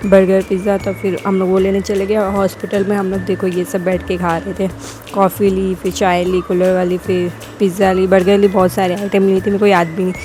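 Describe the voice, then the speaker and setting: A woman's voice: medium pitch, little pitch movement, fairly quick, warm tone, soft background music. A young woman with a sore throat narrating her family vlog as a casual voice-over, rambling and correcting herself as she goes. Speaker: vlogger.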